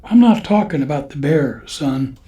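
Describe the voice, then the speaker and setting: A man's voice: fairly high pitch, lively intonation, moderate pace, mellow, lightly acted. A man playing an eccentric, kindly church caretaker who talks in a folksy, knowing, preachy way in a western radio drama. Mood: cryptic, admonishing. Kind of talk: old-time radio western acting.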